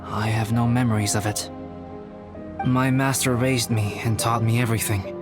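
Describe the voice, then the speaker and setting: A man playing a calm, scholarly, youthful alchemist in a video game, speaking formally and precisely with detached reserve. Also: medium pitch, normal speed, over music, natural voice. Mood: calm, reflective. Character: calm, scholarly young-looking male alchemist, reserved and aloof. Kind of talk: video game character acting.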